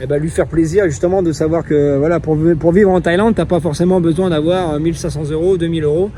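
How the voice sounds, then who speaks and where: Laid-back guy interviewing someone on the street, mellow voice, a bit high, traffic faintly behind. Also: fast. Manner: relaxed, chatty, uses slang. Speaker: interviewer, channel host.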